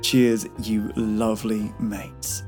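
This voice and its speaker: A man's voice: on the low side, level tone, slow, darkish tone. A man hosting a podcast, giving a chatty, playful and wry scripted introduction. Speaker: podcast host. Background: faint music.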